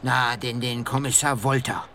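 A man's voice: medium pitch, fairly even intonation, moderate pace, sharp tone, slight background noise. An actor playing a shifty male police informant making a telephone call in a radio play. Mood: furtive, eager.

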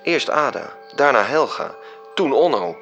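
An actor playing a coolly self-satisfied angel who recounts his schemes in a measured, precise radio drama narration. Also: mid-range voice, big pitch swings, vocal fry, fairly bright tone, highs cut off, soft background music, full character voice. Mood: cool, matter-of-fact.

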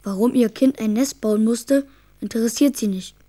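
A stubborn, imaginative little boy acted by a woman in a children's radio play. The voice is fairly high and mellow. He sounds cheeky and secretive, as if musing to himself. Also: normal speed, close to an everyday voice. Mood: resentful, determined.

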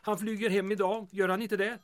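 An actor playing a tactful, inquisitive small-town mayor in a comic audio drama, polite and slightly hesitant. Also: male voice, high-pitched, little pitch movement, close to an everyday voice. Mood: reassuring.

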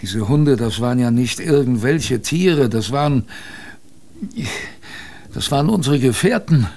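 An actor playing a male polar expedition survivor, narrating his story dramatically in first person, halting and repeating phrases. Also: mid-range voice, expressive, a little mellow. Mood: grave.

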